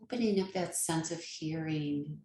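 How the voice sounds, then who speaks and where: A woman leading a guided meditation as a yoga nidra teacher, speaking gently and soothingly with long pauses. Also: sits low, roomy sound, a little hiss or hum.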